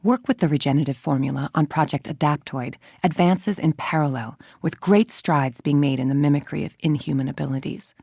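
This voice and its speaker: A woman's voice: sits low, fairly quick, vocal fry, few pauses, dark tone, slightly rough, no room sound, full character voice. A haughty, menacing female scientist from a video game. She speaks with precise, condescending control and cold self-righteousness. The sound is very dry, with no room around the voice. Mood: clinical, stern. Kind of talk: video game voice acting, scripted dialogue and briefings.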